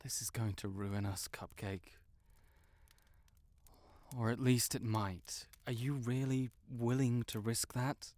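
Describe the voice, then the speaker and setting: A proud, stuck-up young wizard, acted by a man in a fantasy audio roleplay, speaking at a slow pace with a middling pitch. He is halting and defensive, an exasperated tsundere best friend who is earnestly in love. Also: steady tone, darkish tone, no echo, close to an everyday voice. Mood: resigned, tender.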